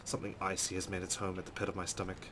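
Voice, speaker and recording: A man's voice: low voice, monotone, rapid-fire, noisy background. A man hosting a let's play, reading story text dramatically and adding casual commentary.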